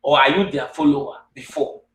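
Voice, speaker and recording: A man's voice: medium pitch, a little fast, a bit bright. A man from Cameroon with a West African accent, speaking in earnest, repetitive declarations as an interviewer. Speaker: interviewer and host. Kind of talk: earnest monologue and interview questions. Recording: distant, echoey room sound.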